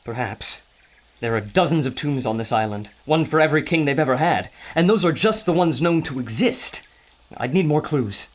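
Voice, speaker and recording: A man's voice: fairly high pitch, expressive, moderate pace. An actor playing a learned, cautious male doctor who explains things thoughtfully. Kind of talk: audio drama acting.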